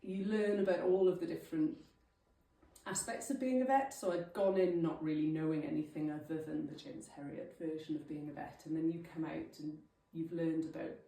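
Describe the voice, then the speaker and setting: A woman's voice: deep voice, fairly even intonation, normal speed, gravelly, mellow. A Welsh woman in her thirties, a native Welsh speaker, giving an informal, chatty, self-deprecating talk to a local group. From Wales. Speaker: guest speaker. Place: village hall. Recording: echoey hall, mic at a distance.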